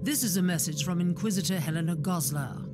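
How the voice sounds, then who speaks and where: Woman playing a low-voiced, severe inquisitor. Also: loud music behind the voice, close to an everyday voice. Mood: cool disapproval. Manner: formal, austere, measured. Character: severe, self-righteous female inquisitor. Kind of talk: video game voice acting.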